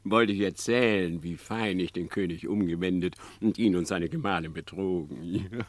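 An actor playing a sly, scheming male fox in a radio play, smooth and mocking with chuckles. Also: low voice, normal speed, dry recording. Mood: boastful. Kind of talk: classic radio play acting.

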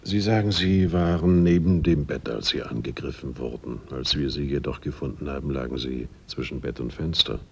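An actor playing a composed, methodical police inspector, measured and courteous as he questions witnesses in a radio crime drama. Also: a bass voice, a little mellow, husky, exaggerated voice. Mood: probing. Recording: muffled radio drama recording, faint noise.

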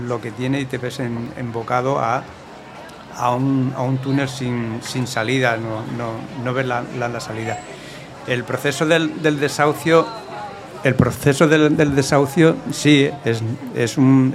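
Male panel guest, average pitch, searching for words with frequent repeats, clear urban noise around him. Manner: halting, repeats words and phrases. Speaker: panel participant. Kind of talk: unscripted discussion. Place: outdoors on a busy city street, live. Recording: clear outdoor city noise.

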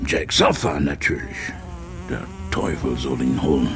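An actor playing a bitter old jazz trumpeter, broken and haunted, rambling and repeating himself in a German radio drama. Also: male voice, very deep voice, animated, a little slow, heavily acted.

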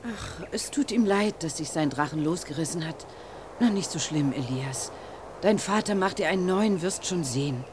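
A woman playing a brisk, kindly contest announcer in a children's radio drama, proclaiming and encouraging. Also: low voice, animated, background noise. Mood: consoling.

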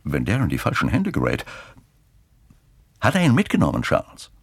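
Husky, wildly swooping aristocratic diplomat. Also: male voice, very deep voice, huge pitch swings, moderate pace, no echo, clean studio sound, full character voice. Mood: agitated. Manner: imperious, urgent. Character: agitated British aristocrat diplomat, commanding his servant. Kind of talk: German radio play acting.

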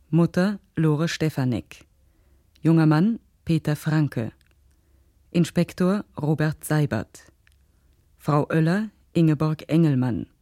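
A formal announcer reads out radio play credits. The delivery is measured and slow, in a mellow voice, recorded very dry and close. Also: mid-range voice, no echo.

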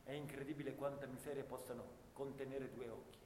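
A male actor plays a young soldier of the First World War, haunted and anguished. The voice rings with long reverb in a roomy space, over clear noise. He tells his story in a dramatic radio-theatre monologue, moving between hushed bitterness and intensity. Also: medium pitch, fairly even intonation, brisk, lightly acted. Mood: weary, pitying.

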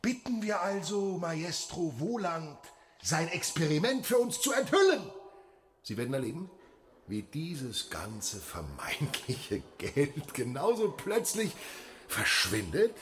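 An actor playing a pompous, anxious variety-show master of ceremonies, declaiming in a radio drama. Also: male voice, on the high side, very animated, slow pace. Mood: forced cheer.

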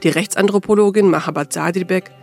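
Guy narrating a radio feature, highish creaky voice, bit of guitar behind. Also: fairly even intonation, fast talker. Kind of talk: scripted documentary narration.

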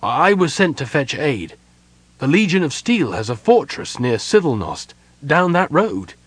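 Young male elf prince, voiced by a male narrator: anguished and imperious, raised pitch, big swings, dry studio sound. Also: sing-song, unhurried, slightly rough, dry recording, exaggerated voice. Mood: urgent, weary. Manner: impassioned, urgent, commanding.

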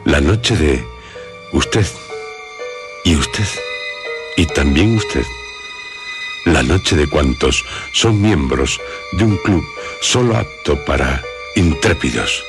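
Male horror radio host, Castilian accent, very slow and theatrical, solemn suspense over prominent music. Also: a bass voice, lively intonation. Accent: Castilian Spanish. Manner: solemn, suspenseful, pausing between short phrases. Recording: loud music behind voice. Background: loud music.

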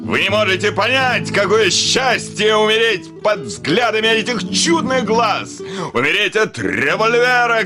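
An actor playing a hot-tempered, lovestruck landowner in a theatrical radio play, blustering and breaking into exclamations. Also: male voice, high-pitched. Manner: blustering, halting then bursting into exclamations. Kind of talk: theatrical Russian classic radio play acting. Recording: studio radio drama with loud music.

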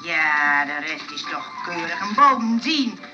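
An actress playing a kindly, encouraging nursing sister at a rest home in a radio play. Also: female voice, lowish voice, big pitch swings. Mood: coaxing, encouraging. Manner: encouraging, chatty, hesitant with pauses. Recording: muffled radio play with loud music behind.

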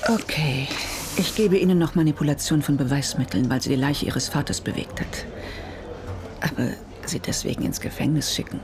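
An actress playing a tough, sceptical prosecutor in a radio drama, speaking brusquely. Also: female voice, sits low, normal speed, close to an everyday voice.

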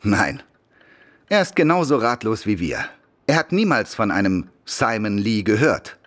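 Ein Schauspieler spielt in einem Hörspiel einen ernsten Polizeibeamten, der förmlich und bedächtig berichtet. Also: male voice, lowish voice, sing-song, measured pace, fairly bright tone. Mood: grave, deliberate. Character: serious police official reporting a case. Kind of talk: German radio play acting.

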